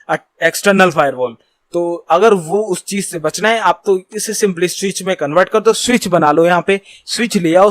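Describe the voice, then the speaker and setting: A man's voice: high voice, dry recording. A man giving an informal tutorial as an online instructor, explaining points conversationally and mixing in English technical terms. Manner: reads English points slowly and deliberately, then explains conversationally.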